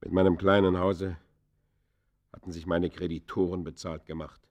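An actor playing an embittered ex-convict in a radio play, confessing in theatrical, literary that swings from hushed to fierce. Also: male voice, deep voice, little pitch movement, normal speed, highs cut off, close to an everyday voice. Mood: mournful. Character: embittered male ex-convict confessing his descent into crime. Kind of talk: German radio play acting, literary monologue.

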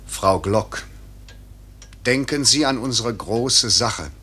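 Stern husky-voiced director, sweeping intonation. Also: male voice, medium pitch, animated, slow pace, sharp tone, exaggerated voice. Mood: firm, impassioned. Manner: declamatory, solemn, clipped authority. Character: stern, idealistic airline director, a man of duty. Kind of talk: 1950s German radio play acting.

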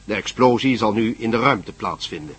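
A man narrating a radio drama recap in a measured, dramatic way. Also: lowish voice, lively intonation, a bit bright, faint music behind.

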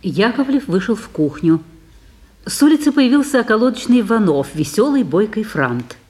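Lady narrator for an old radio play, reads scene action, lively intonation. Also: mid-range voice, normal speed. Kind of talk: scripted narration for a broadcast play.

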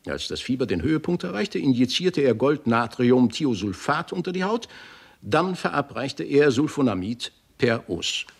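An actor playing a friendly, skeptical old doctor who explains things in a scholarly, deliberate way in a 1960s German radio drama. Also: male voice, medium pitch, sing-song, creaky, slightly rough, full character voice. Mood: animated explaining. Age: older. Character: friendly old doctor, skeptical, learned leper-clinic director.

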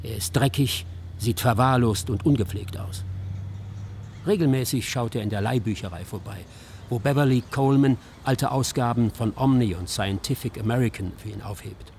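A man narrating a scripted radio documentary in a measured, descriptive storytelling manner. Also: mid-range voice, a little mellow, a little hiss or hum. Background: music.

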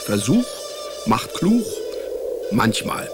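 An actor playing a wry, hapless male private detective, deadpan in his narration and stammering when cornered, in a radio drama. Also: mid-range voice, very slow, over loud music. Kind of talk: radio drama, first-person hardboiled comic narration.

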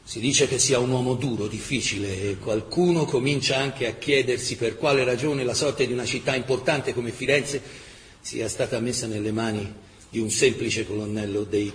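A man narrating a dramatic historical chronicle in emphatic, measured radio-theatre style. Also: medium pitch. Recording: echoey reverberant hall, faint noise.

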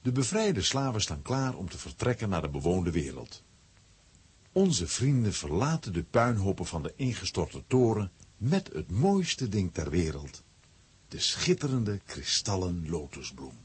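A man's voice: sits low, big pitch swings, unhurried, slightly rough, exaggerated voice. A man narrating a children's adventure radio play as storyteller. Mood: storytelling. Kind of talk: scripted dramatic narration for children.

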